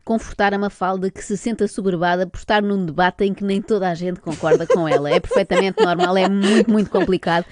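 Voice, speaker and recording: A woman doing ironic, deadpan commentary on TV debate clips as a radio comedy host. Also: medium pitch, no room sound, clean studio sound. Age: older. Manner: deadpan, ironic, rapid-fire.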